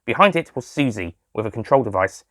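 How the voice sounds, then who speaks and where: A man's voice: mid-range voice, lively intonation, a little fast, fairly bright tone, no echo, studio quality. A man reading a scripted audio story as its narrator. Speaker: writer and narrator.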